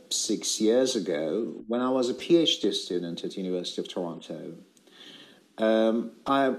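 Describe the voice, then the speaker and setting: A man's voice: fairly low pitch, darkish tone, poor audio. An older man presenting on a video call at an academic conference, hesitant with many filler sounds. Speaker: panelist. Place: indoor room, seated.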